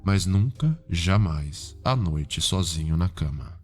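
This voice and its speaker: A man with a Brazilian accent playing a calm, cultured, sinister psychiatrist in an ASMR roleplay, speaking in a formal, measured, softly probing way. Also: low-pitched, husky, full character voice. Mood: clinical, instructive. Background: background music.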